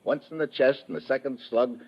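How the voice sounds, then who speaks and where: A man playing a tough, wisecracking undercover investigator in 1950s radio drama, husky, blunt and sardonic, on a dull old radio recording. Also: mid-range voice, brisk, close to an everyday voice. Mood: firm explaining. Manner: hard-boiled, sardonic, blunt.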